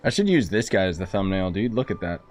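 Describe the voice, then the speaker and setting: A man's voice: low voice, sing-song, fast, music in the background. A young man giving casual, reactive gaming commentary in a slangy, joking, mocking manner. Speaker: gaming streamer.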